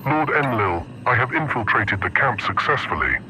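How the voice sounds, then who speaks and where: A man's voice: mid-range voice, expressive, normal speed, pushed and bright, slight background noise, voice pushed up, rough recording. A man as a radio-filtered, dutiful sci-fi henchman. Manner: deferential, businesslike, reporting. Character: obedient male spy henchman reporting to his lord. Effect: radio communicator filter. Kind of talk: sci-fi audio drama acting.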